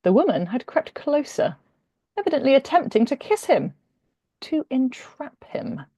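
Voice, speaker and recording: A woman author reading her humorous romance novel aloud in expressive, dramatic narration with deliberate pauses. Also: high-pitched, husky, no echo.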